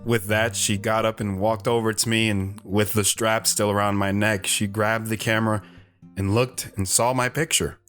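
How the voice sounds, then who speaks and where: A man playing a shy, hesitant wanderer who narrates his own story in an audio drama, reflective in tone, with soft guitar underneath. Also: lowish voice, little pitch movement, moderate pace, lightly acted. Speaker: narrator and guest.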